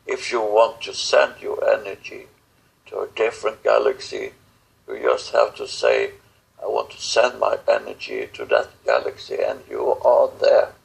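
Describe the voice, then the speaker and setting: Very slow, gravelly man, halting delivery. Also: lowish voice, animated, poor audio. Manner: halting, solemn, broken phrases with long pauses. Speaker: channeler speaking as star beings in a guided session. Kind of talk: channeled spiritual message.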